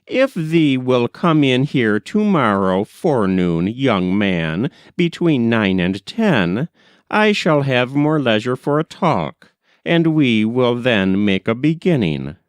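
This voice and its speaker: A man voicing a deliberate, formal, cautious lawyer in an audiobook story, with old-fashioned courteous phrasing. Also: mid-range voice, sing-song, smooth, no echo. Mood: cautious, admonishing. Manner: slow, formal, archaic thee and thy. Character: deliberate, formal Quaker-spoken male lawyer, cautious temper.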